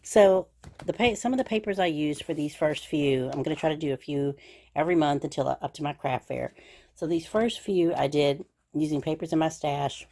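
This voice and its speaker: Low-voiced chatty craft host. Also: female voice, moderate pace, darkish tone. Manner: chatty and gushing, with frequent fillers. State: slightly scratchy voice from a cold. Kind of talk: casual crafting show-and-tell.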